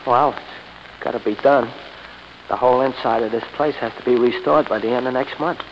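No-nonsense craftsman, played by a man: mid-pitched, brusque, reverberant noisy old radio drama. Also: steady tone, moderate pace, close to an everyday voice. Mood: dismissive. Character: practical, skeptical male church stone carver. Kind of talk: 1930s radio acting. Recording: echoey, noisy vintage 1930s radio recording.

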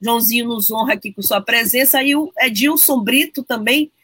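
A woman's voice: fairly high pitch, moderate pace, a bit bright, slightly rough, dry recording. A woman hosting a live stream, graciously greeting viewers and reading their comments aloud. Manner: gracious, slightly formal. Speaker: host.

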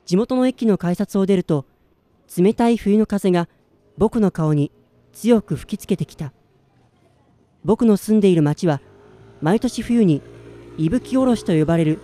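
Man acting a mellow, introspective young man. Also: high-pitched, normal speed, long pauses, natural voice. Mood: reflective, subdued. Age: 20s. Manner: introspective, plain-spoken. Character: listless, self-doubting young man narrating his everyday life. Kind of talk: radio drama narration and dialogue.

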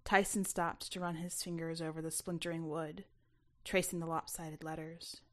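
A woman reading a first-person short story as an expressive narrator. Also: sits low, normal speed. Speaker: author reading their own short story.

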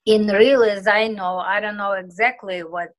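A woman from Ukraine whose first language is Russian speaks English with a Russian-speaking Ukrainian accent. She is an interviewee recalling her past in a halting way and searching for English words. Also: mid-range voice, steady tone. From southeastern Ukraine. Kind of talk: conversational personal recollection.

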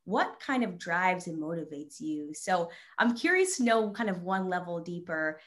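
A woman's voice: fairly low pitch, big pitch swings, normal speed, fairly bright tone. A woman, working as a podcast host with prepared lines and conversational questions. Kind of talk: prepared introduction and conversational interviewing.

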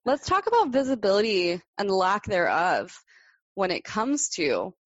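A woman's voice: medium pitch, sing-song, measured pace, no room sound, dull audio. A woman from Miami hosting a podcast, chatting in an easygoing way with trailing thoughts. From Miami, Florida, US. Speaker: podcast host. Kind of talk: casual interview chat.

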